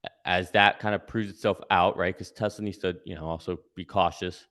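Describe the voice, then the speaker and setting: A man's voice: low-pitched, steady tone, a little fast, fairly bright tone. A man giving casual conversational analysis as a guest commentator, explaining step by step and checking in with "right?". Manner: explanatory, tags points with "right?".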